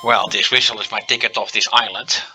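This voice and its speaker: A man with a Dutch accent, a native Dutch speaker, giving relaxed gameplay commentary and thinking aloud with many fillers. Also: lowish voice, brisk, over loud music, low-quality recording. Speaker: let's play commentator.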